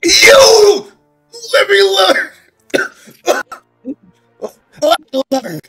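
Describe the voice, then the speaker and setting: A man acts out an old robot pirate captain for a game fandub, full of vengeful bluster. The drawl is theatrical and gravelly, swinging widely in pitch. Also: big pitch swings, very slow, fairly bright tone, soft background music, heavily acted. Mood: furious.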